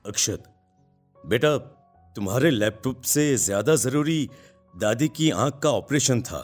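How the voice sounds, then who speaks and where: Man as cartoon-story father, medium pitch, gentle, dutiful, reassuring, music underneath. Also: animated, unhurried, natural voice.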